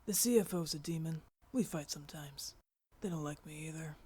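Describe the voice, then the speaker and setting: A woman narrator acts out a blunt male demon hero for an audiobook. He is hushed and deadpan, speaking in short staccato bursts. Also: low-pitched, moderate pace, breathy edge.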